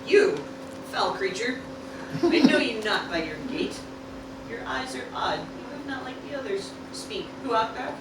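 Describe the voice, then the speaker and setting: A woman's voice: fairly high pitch, animated, a little slow. A woman playing a grieving, vengeful wife who declaims mock-Shakespearean speeches in an amateur radio play. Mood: suspicious, challenging. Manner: declamatory, theatrical. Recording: roomy, distant, clearly noisy room recording.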